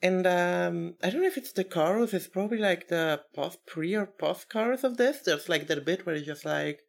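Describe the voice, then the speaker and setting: A woman's voice: on the low side, moderate pace, vocal fry. A woman in her twenties chatting casually as a podcast co-host, teasing and thinking aloud in hesitant loops. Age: 20s. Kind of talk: casual chat about records.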